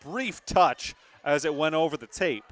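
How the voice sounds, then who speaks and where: High-pitched young male volleyball play-by-play announcer. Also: lively intonation, a little slow, fairly bright tone. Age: young adult. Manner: emphatic, dramatic calls. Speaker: play-by-play sportscaster. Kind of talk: live volleyball commentary. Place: courtside in a gymnasium.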